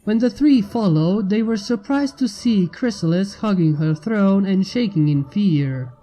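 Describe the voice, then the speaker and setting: A man narrates a fantasy tale from a script. His voice is soft-edged and mellow, with music playing underneath. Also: high-pitched, expressive, measured pace, rough recording. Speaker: fan fiction reader. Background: background music.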